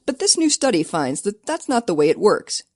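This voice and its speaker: A woman's voice: medium pitch, sing-song, a little fast, darkish tone, dry recording, studio quality. A woman reading scripted science narration in a conversational, rhetorical way.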